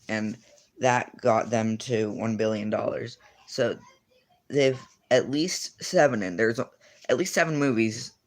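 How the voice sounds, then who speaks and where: A teenage boy in casual livestream chat, answering viewers and rambling with lots of fillers and self-corrections. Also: medium pitch. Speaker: streamer.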